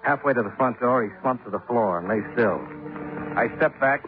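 A man's voice: medium pitch, moderate pace, phone-quality sound, lightly acted. Guy playing a hardboiled 1950s radio detective, clipped and dry, thin old recording with music under it. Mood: dry narrating. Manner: matter-of-fact, clipped, hard-boiled. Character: brisk, level-headed male private insurance investigator narrating his case. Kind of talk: 1950s radio detective drama acting and narration.

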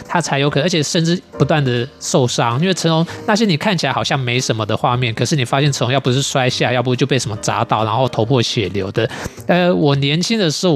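A man giving conversational film commentary as a guest critic. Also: medium pitch.

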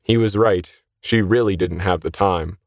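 A man's voice: deep voice, measured pace, dry recording, studio quality. A man narrating a scripted short story as its reader.

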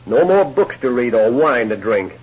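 A man's voice: mid-range voice, big pitch swings, normal speed, natural voice. A man playing a cold outlaw boss in a 1950s radio western, curt, sneering and threatening. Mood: menacing. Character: cold, menacing male outlaw boss holding captives. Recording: dull old radio recording, faint noise.

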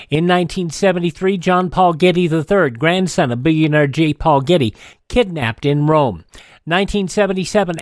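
A man's voice: high voice, no echo, studio quality. A man reading a scripted almanac narration as a radio host.

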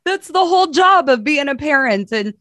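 A woman from Kansas hosting an interview, chatty and upbeat, quick to laugh. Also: high voice, animated, pushed and bright, dry recording. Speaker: radio host, interviewer. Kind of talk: casual podcast interview.

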